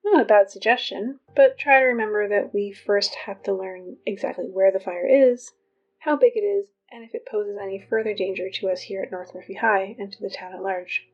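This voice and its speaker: A woman playing an earnest, officious high school girl who reads morning announcements in a measured, matter-of-fact way for an audio drama. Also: highs cut off, close to an everyday voice. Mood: measured, businesslike.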